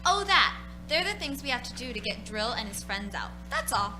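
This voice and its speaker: A high, childlike voice of a young daughter in a radio play. She is eager and slangy, dodging questions and scorning doubters, with music in the background. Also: very animated, moderate pace. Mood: hurried, evasive. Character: eager, evasive young daughter playing invasion.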